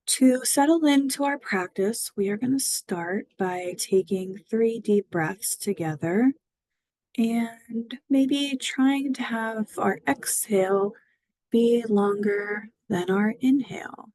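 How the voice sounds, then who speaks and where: A woman guiding a mindfulness body scan meditation, speaking gently and soothingly with long pauses. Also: a little high, no echo, rough recording. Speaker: mindfulness guide.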